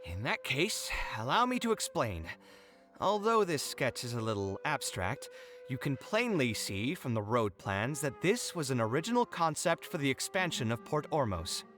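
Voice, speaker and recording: Show-off young architect dude in a game, pitch swinging all over, soft music under him. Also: male voice, very animated, normal speed. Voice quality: theatrical, showy. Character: proud, boastful young male architect. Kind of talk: game voice acting.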